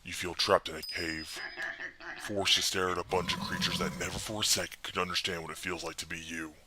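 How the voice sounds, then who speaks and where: An actor playing a grim, desperate, world-weary masked vigilante in an audio drama, with a gravelly, intense delivery. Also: male voice, flat delivery, heavy background noise, lightly acted. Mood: sombre, empathetic. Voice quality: gravelly, husky growl. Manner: intense, deliberate, low and pleading. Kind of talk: fan audio drama acting.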